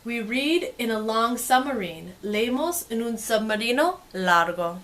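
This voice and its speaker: A woman presenting a bilingual picture-book read-aloud with casual commentary, expressive when reading and softer when commenting. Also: fairly high pitch, unhurried, slight background noise.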